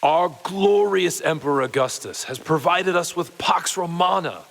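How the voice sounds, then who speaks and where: Stern noble Roman governor, weary, grave, slow delivery, audio drama, reverberant hall. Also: male voice, a little high, animated, vocal fry, fairly bright tone, exaggerated voice. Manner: grave, deliberate, imperious. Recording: long hall reverb, water sounds behind.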